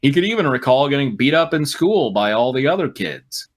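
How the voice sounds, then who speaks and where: A man with a mid-range voice reads a story aloud with lively swings in pitch. His dramatic narration gives way to dry, mocking quips. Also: brisk. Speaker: reader on a fan fiction comedy show.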